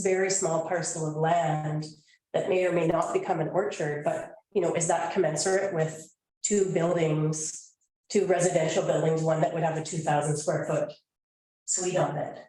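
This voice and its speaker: A woman councillor with a somewhat low voice argues her point in a council chamber with a heavy echo. She is pointed and questioning, leaning on small conversational fillers. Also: warm tone, distant mic. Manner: chatty, self-deprecating, then pointed. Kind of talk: council debate.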